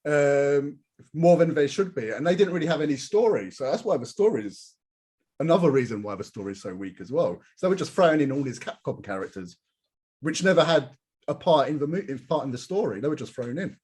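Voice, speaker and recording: Husky guy on a podcast, animated, kinda higher voice, casual. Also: brisk. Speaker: podcast panellist. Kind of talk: casual chat.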